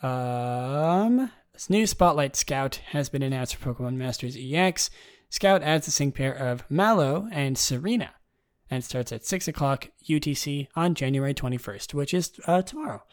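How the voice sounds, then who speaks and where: A young man with a raspy voice hosting his own podcast, talking in a relaxed, rambling way and reading out game news. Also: moderate pace. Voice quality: raspy, low tonight. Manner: relaxed and rambling, many ums and restarts. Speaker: podcast host.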